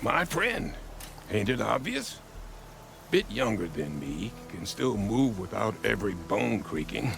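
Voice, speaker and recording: A gravelly, grumbling old digger. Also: male voice, lowish voice, sing-song, a little slow, background noise, full character voice. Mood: fond. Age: older. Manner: blunt, folksy, grumbling. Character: grumbling older male digger, blunt and folksy.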